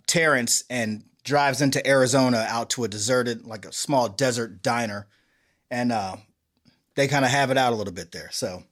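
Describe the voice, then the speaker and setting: A man's voice: medium pitch, fairly bright tone. A man hosting a show, talking casually as he sets things up. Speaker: show host. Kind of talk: casual podcast chat.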